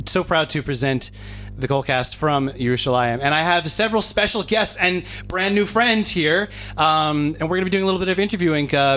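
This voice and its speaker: Hoarse host dude, kinda high voice, eager and chatty, lots of ums, handheld mic. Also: male voice, fairly bright tone. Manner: enthusiastic, many fillers. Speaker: podcast host. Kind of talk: casual interview, hosting. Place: indoor gathering room. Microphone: handheld microphone.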